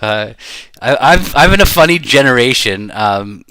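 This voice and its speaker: Bright-voiced man thinking aloud over a game. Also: mid-range voice, animated, clean tone, dry recording, rough recording. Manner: rambling, halting, thinking aloud. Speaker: bridge player commentating while playing. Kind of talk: casual live game commentary.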